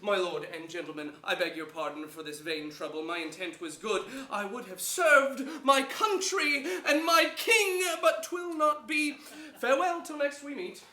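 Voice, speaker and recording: A fervent courtly conspirator, with a piercingly bright, high voice. He declaims like a grand Shakespearean orator in an echoey theatre. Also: animated, normal speed, hardly any pauses. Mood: stern ultimatum. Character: Buckingham, forceful flattering nobleman, fervent royal conspirator. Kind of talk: declamatory Shakespearean stage acting. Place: theatre stage before an audience.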